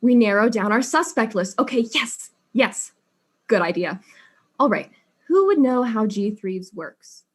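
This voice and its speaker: A woman's voice: on the high side, animated, a little slow. A woman playing a polite, inquisitive detective in a mystery drama, questioning people in an earnest and slightly hesitant way. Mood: brisk deliberation.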